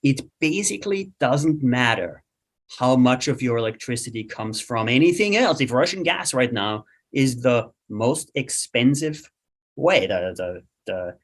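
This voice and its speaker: A man with an Austrian accent, a guest expert explaining things in a podcast conversation, lively and thinking aloud with many "um"s and "right?"s. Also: mid-range voice, a little slow.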